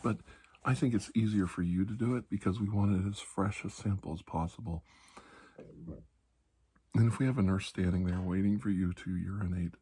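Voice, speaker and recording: A man playing a calm, reassuring doctor in an ASMR roleplay, speaking softly and slowly with pauses, often near a whisper, in a breathy, husky voice. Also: deep voice. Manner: slow, calming, deliberate with long pauses. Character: calm, reassuring older military doctor treating alien infection.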